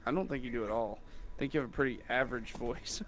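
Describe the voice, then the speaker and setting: A man talking loosely and casually, circling back on himself with restarts and fillers as he goes. His pitch sits in an ordinary middle range, and a faint hiss lies under the voice. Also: fast, a bit bright. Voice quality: higher-pitched voice, in his own view. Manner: rambling, with many restarts and fillers. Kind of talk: casual chat.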